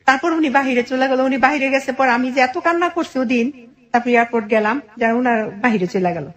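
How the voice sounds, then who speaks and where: Higher-voiced Bangladeshi woman telling her story. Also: fairly quick. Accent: colloquial Bangladeshi. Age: 40s. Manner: animated retelling with quoted family dialogue. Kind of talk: informal personal storytelling.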